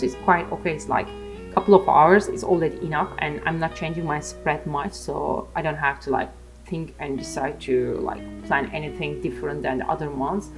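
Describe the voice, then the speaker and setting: A woman's voice: lowish voice, a little mellow. A young woman with a Turkish accent, chatting casually and self-mockingly over her art vlog. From Turkey. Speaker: art vlogger. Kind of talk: casual voice-over chat while drawing. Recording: faint music underneath.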